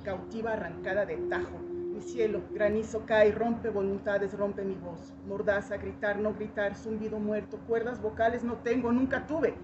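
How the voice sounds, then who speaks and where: A woman's voice: medium pitch, steady tone, normal speed, hardly any pauses. Noisy, lo-fi recital by an intense woman. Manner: intense, theatrical, incantatory delivery in short fragmented phrases. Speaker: poetry performer. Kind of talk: dramatic poetic monologue recital. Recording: dull lo-fi recording with loud noise.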